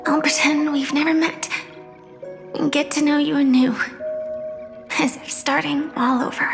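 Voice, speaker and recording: An actress voicing a gentle, frail young woman in a video game, soft and breathy, wistful and quietly resolute. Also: high voice, heavily acted. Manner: slow, dreamy, tender.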